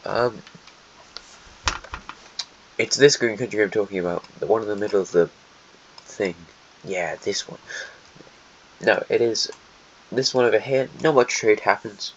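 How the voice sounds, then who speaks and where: A man's voice: lowish voice, a little slow, pauses often. A man with a stuffy, snotty voice giving rambling, sarcastic commentary over a map simulation. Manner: rambling, sarcastic asides to countries. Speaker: host narrating a map simulation. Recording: dull lo-fi mic, faint hiss.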